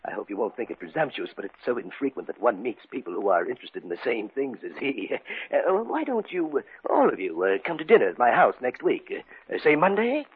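A man's voice: mid-range voice, big pitch swings, a little fast, hardly any pauses, breathy edge, phone-quality sound. An actor playing a courteous, hesitant retired art collector, an older man with a fussy, chuckling manner, in 1950s radio drama style. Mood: polite, hesitant. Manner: hesitant, chuckling, polite, storyteller. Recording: thin, hissy old radio recording.

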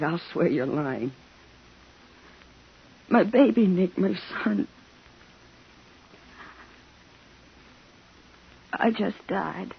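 An actress playing an anguished, defensive young widowed mother in a 1950s radio drama, with a husky voice. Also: fairly low pitch, slight background noise, exaggerated voice. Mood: broken, anguished. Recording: dull 1950s radio recording.

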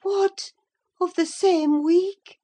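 A woman's voice: high-pitched, slow, mellow, pitched above the performer's own voice. A woman voicing a delirious, frightened young married woman in an audiobook of a classic novel, fretful, pleading and prone to gasping exclamations. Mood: bewildered.

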